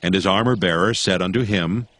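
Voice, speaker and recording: A man narrating a dramatized Bible in a solemn, measured, scripted style. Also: low voice, fairly bright tone. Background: music.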